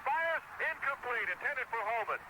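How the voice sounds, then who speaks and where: A man's voice: very high-pitched, expressive, moderate pace, noisy background. A man with an American accent calling live football play-by-play in clipped, descriptive phrases on a 1970s radio broadcast.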